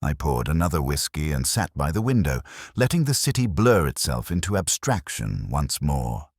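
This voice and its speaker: A man narrating a literary first-person detective drama as a melancholy, world-weary investigator, in a measured, brooding, solemn way. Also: a bass voice, sing-song, darkish tone, no echo, studio quality.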